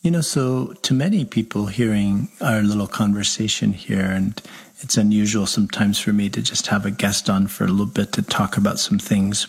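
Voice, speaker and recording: Husky, low-voiced priest hosting radio. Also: male voice. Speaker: radio host, parish priest. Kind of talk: radio interview.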